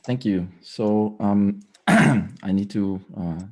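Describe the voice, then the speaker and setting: A man with a slightly raspy voice giving a tech talk over a video call, hesitant with many fillers and restarts and frequent throat clearing. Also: on the low side, takes it slowly. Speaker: guest speaker.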